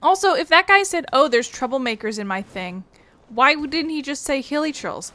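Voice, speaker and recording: A woman's voice: sits high, expressive, normal speed, sharp tone, dry recording. A woman giving casual, offhand gameplay commentary, wry and prone to swearing. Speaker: video game streamer. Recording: game music under the commentary.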